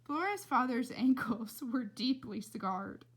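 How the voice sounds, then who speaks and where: A woman's voice: fairly high pitch, animated, slow pace, husky. A woman reading a storybook aloud expressively, with dramatic emphasis and casual asides. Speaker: read-aloud reader.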